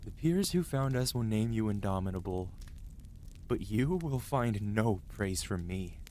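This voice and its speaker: A man's voice: fairly low pitch, expressive, unhurried, darkish tone, a little hiss or hum. A man voicing an angry yet protective dragon who scolds a rider in a dramatic, emotional fantasy ASMR roleplay. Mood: stern, anguished.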